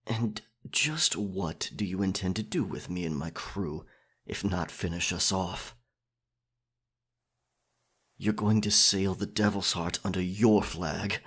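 A roguish pirate captain, acted by a man in an ASMR roleplay, speaks low and slowly. He is captured yet defiant and flirtatious, with a husky edge and a wry, halting, theatrical way of talking. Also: a bit bright, exaggerated voice. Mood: resigned, wary. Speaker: audio roleplay performer. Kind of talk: ASMR roleplay acting, one-sided dialogue.

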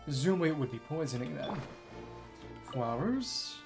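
Give a game streamer with a medium-pitched voice, thinking aloud in a casual way. The commentary drifts between musing and reading out fragments of on-screen dialogue. Game music plays clearly behind the voice. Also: very animated, moderate pace. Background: video game music.

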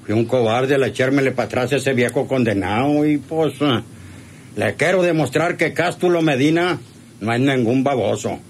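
A man's voice: medium pitch, normal speed, close to an everyday voice. A man with a Mexican accent playing a cowardly, servile ranch henchman in a radio drama, rustic and hesitant. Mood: nervous, eager. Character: cowardly, servile ranch henchman eager to please his boss. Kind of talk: radionovela acting. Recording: noisy old radio serial recording.

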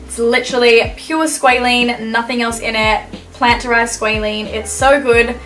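A woman's voice: fairly high pitch, fairly even intonation, measured pace, a bit bright, recorded from a distance, faint music behind. A young woman in her twenties chatting enthusiastically about skincare products in her bedroom, with lots of fillers. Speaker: beauty vlogger. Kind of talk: casual product review. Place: bedroom, indoors.

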